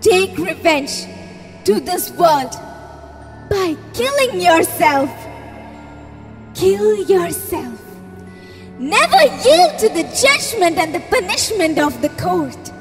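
A woman plays a sinister inner voice that coaxes and taunts. Very high and swooping, slow, with a long reverb over music. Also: very animated, exaggerated voice. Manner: coaxing, taunting.

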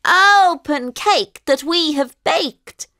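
A woman voicing a menacing horned witch in a children's storybook, calling out loudly and imperiously. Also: sits high, expressive, no room sound, studio quality, voice pushed up, full character voice. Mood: screaming demand. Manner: loud, slow, imperious calling.

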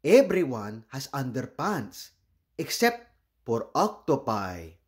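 An earnest, hapless storybook octopus acted out by a man. He tells his tale in expressive rhyme, unhurried, with pitch that rises and falls freely. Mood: forlorn. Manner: expressive, simple rhyming delivery.

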